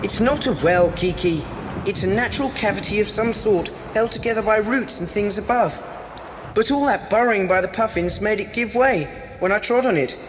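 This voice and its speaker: A man's voice: high voice, moderate pace, lightly acted. A man playing a brave, cheerful boy who leads and reassures the others in a children's audio adventure dramatisation. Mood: reassuring. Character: brave, cheerful boy leader, protective and matter-of-fact. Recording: noisy, reverberant old cassette dramatisation.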